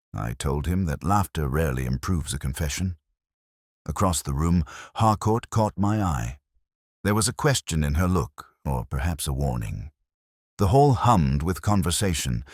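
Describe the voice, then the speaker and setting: A man playing a brooding, world-weary older police inspector, narrating a detective drama in a measured, formal, wry manner. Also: rumbling low, no echo, studio quality, heavily acted. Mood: watchful, brooding. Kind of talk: scripted first-person literary narration.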